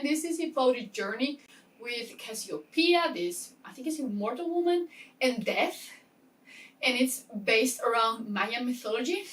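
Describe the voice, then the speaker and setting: A woman talking casually to camera as a book vlogger, chatty and excitable, often interrupting herself with asides. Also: sits high, far from the mic, poor audio. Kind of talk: casual chatty reading-plan talk to camera.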